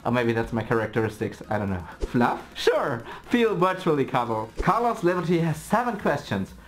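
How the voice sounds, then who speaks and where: A playful young man in his twenties, speaking English with a German accent as a native German speaker. He hosts a casual Q&A vlog at a mid-range pitch and reads viewer questions aloud with giggly, teasing energy. Soft music plays faintly behind him. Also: very animated, normal speed. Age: 20s. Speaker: YouTuber.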